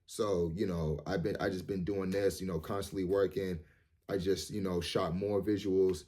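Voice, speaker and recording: A man in his thirties with a low, deep voice talks straight to his viewers. He rambles and restarts, repeats words, and fills his pauses with "um" and "you know". His tone stays earnest and motivational. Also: level tone, warm tone, slight background noise. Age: 30s. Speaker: vlogger. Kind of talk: casual monologue to camera.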